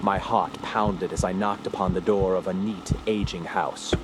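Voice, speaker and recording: A male actor voices a grave investigator telling his story in a radio drama. The low voice is solemn and literary, heavy with dread. Ambient noise sits clearly behind it. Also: steady tone, normal speed, lightly acted. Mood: somber, dread. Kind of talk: scripted first-person radio drama narration.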